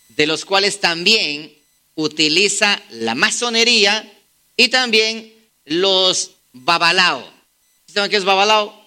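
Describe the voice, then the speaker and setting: A man's voice: sits high, bright, forceful tone. A man preaching a sermon, firing rhetorical questions at his listeners and urging them to look things up. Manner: emphatic, rhetorical questions to the congregation.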